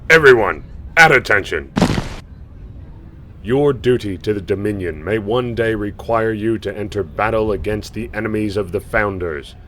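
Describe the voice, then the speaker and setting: A man's voice: on the low side, normal speed, bright, forceful tone, slight background noise. A man playing a harsh, contemptuous alien military commander in a science fiction audio drama, stern and formally commanding. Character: harsh alien soldier commander, merciless, contemptuous drill instructor.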